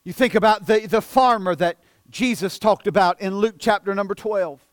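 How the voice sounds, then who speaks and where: Man in his forties, pastor preaching, high-pitched, dry close sound, earnest and halting. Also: no room sound. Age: 40s. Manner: earnest, repeats and stumbles on words, drops soft for emphasis. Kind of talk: sermon.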